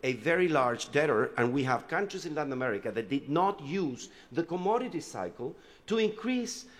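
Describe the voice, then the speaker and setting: A man's voice: on the high side, sing-song, normal speed, fairly bright tone, big-room sound. A man from Chile speaking on a conference panel, loose and conversational, with many fillers and restarts as he talks through his slides.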